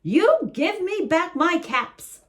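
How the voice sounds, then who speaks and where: A woman's voice: very high-pitched, very animated, slow, a bit bright, pitched above the performer's own voice, heavily acted. A woman voicing an angry male cap peddler, scolding in a raised, insistent voice.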